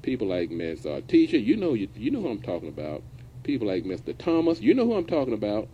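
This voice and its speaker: A man's voice: medium pitch, big pitch swings, fast talker, muffled recording, a little hiss or hum. A middle-aged man hosting a business radio show, talking in a folksy, casual way and now and then dropping verbs. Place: radio studio.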